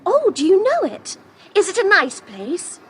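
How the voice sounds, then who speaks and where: An actress playing an eager, polite young schoolgirl adventurer in an audiobook drama, excitable and sweet-mannered. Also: extremely high pitch, a little slow, slight background noise, full character voice.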